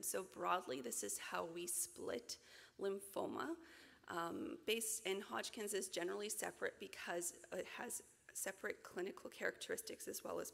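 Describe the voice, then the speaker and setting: A woman in her forties gives a lecture at a conference podium, her somewhat low voice strongly gravelly and raspy. She speaks in a measured way with many ums and restarts, and the podium microphone carries a long reverberant tail from the hall. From Canada. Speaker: physician lecturer.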